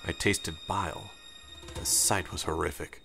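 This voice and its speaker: Low-voiced man narrating over music. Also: fairly even intonation, measured pace, gravelly, sharp tone, slightly rough. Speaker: audiobook narrator. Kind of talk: scripted story narration. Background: music under the narration.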